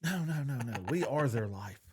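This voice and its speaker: A man's voice: medium pitch, lively intonation, moderate pace, husky. A man co-hosting a podcast in casual banter, with a folksy, colloquial way of talking. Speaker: podcast co-host.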